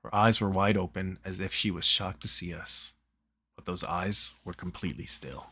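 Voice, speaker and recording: A man's voice: low voice, fairly even intonation, normal speed, sharp tone, husky, no echo. A man reading a game's story aloud in a plain, conversational way, mixed with casual chat. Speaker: streamer reading a visual novel aloud.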